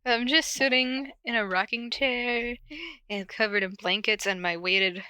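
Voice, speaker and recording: Woman, informal podcast reader and host. Bright, forward voice, dry close sound, giggly, slips easily between story and asides. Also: on the high side, steady tone, a little slow, smooth, dry recording. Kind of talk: casual cold reading of fan fiction and chat. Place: corner of a basement at home.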